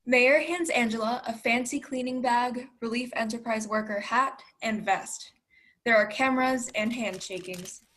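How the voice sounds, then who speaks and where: High-voiced woman reading stage directions. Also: unhurried, a bit bright. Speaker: narrator. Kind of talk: scripted narration of stage directions. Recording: faint background noise.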